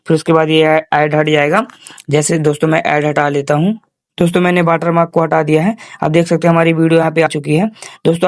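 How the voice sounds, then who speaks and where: A man with a fairly high voice guides viewers through steps as a tutorial host. His delivery is informal but very even in tone, with repeated instructional phrases. The voice-over sounds close and dry. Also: no echo. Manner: repetitive instructional phrasing, addresses viewers as friends. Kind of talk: informal step-by-step screen tutorial.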